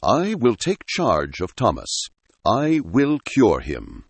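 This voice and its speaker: A man's voice: medium pitch, huge pitch swings, takes it slowly, vocal fry, slightly rough, no echo, heavily acted. A man voicing a devoted, determined woman doctor in an audio story, earnest and dramatic.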